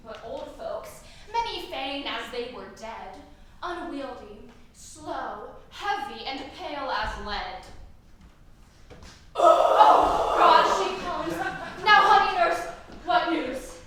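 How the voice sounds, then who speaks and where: A woman's voice: a little high, lively intonation, slow pace, fairly bright tone, a little hiss or hum. A woman playing an impatient young girl in love, declaiming verse on stage. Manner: eager, pleading, declaiming verse. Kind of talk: stage Shakespeare acting. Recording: echoey hall from far off.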